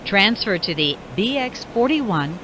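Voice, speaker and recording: A woman's voice: mid-range voice, very animated, a little slow, noisy background. A woman giving recorded transfer announcements as a transit announcer.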